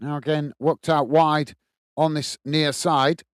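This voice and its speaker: A man's voice: fairly high pitch, little pitch movement, slow, clean tone, no room sound, studio quality. An English man hosting a live football watchalong, calling the play steadily and reading out chat comments. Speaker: watchalong host.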